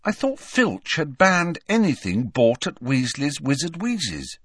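A man's voice: on the high side, huge pitch swings, a little slow, a bit bright, husky, dry recording, clean studio sound, voice pushed up, heavily acted. A man voicing a stubborn, suspicious teenage schoolboy in an audiobook, pressing his point insistently. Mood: puzzled. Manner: muttering, insistent.

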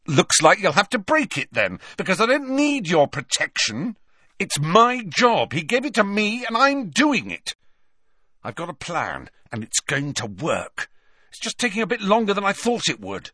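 A sulky, defiant teenage boy, as a man reads him, pitched well up and loud. His voice is bright and the recording is dry and close. He keeps pushing back with a sharp edge. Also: huge pitch swings, normal speed, slightly rough, dry recording, heavily acted.

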